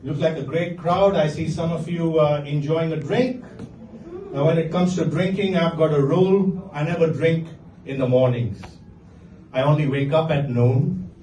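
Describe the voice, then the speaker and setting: Deadpan Indian-accented comedian, echoey stage. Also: male voice, on the high side, moderate pace, noisy background. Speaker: stand-up comedian. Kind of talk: stand-up comedy set. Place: comedy venue with live audience. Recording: echoey room, distant mic, faint ambience.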